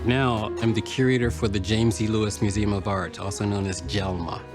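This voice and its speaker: An older man from Washington, D.C., with an American accent, explaining his work in a measured documentary interview style. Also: fairly low pitch, flat delivery, over music. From Washington, D.C., United States. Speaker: puppeteer, artist, museum curator.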